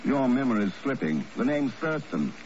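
An actor playing a suave, dry, unflappable secret agent with a clipped manner in a 1950s radio spy drama. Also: male voice, medium pitch, big pitch swings, normal speed, darkish tone, lightly acted. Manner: wry, clipped. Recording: noisy, dull old radio recording.

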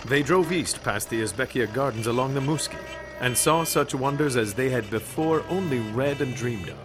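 A man narrating an exotic mystery tale for a radio drama in literary, measured phrasing. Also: medium pitch, lightly acted. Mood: evocative. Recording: music behind the narration.

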